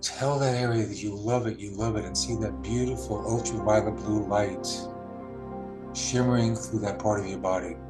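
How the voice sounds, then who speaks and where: A man with a lowish voice guides a healing meditation, talking slowly and gently with long pauses between phrases. Soft music plays faintly behind him. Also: fairly even intonation. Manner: slow, soothing, with long pauses.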